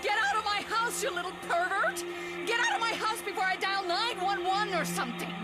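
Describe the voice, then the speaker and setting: Woman acting outraged housewife, shrill, very high pitch, indignant, comic drama, loud music. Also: expressive, brisk. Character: outraged, shrieking married housewife.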